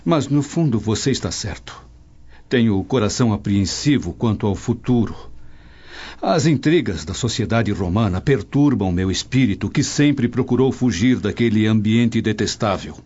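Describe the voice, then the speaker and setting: A man's voice: fairly low pitch, animated, moderate pace, darkish tone, husky. An actor playing a brooding, apprehensive Roman nobleman in a radio drama, with formal, earnest, theatrical diction. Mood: troubled, uneasy. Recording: dull audio over music bed.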